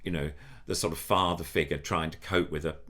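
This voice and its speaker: A man's voice: extremely low pitch, fairly even intonation, brisk, background noise. An older man with a nasal voice, a puppeteer chatting in an interview, enthusiastic and rambling with many restarts. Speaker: puppeteer guest. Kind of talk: casual interview chat.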